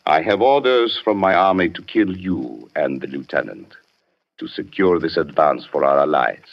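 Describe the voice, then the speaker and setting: An actor playing a cold, deadly wartime agent, menacing and measured, in 1940s radio drama style. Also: male voice, very deep voice, expressive, creaky, full character voice. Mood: cold menace. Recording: muffled old 1940s radio broadcast.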